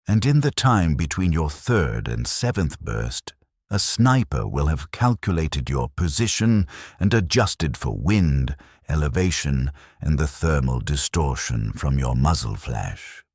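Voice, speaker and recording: A man's voice: rumbling low, a little mellow, husky, no room sound, studio quality, heavily acted. A man voicing a war-weary veteran soldier in a story, blunt and fatalistic, speaking in stern, clipped, emphatic sentences.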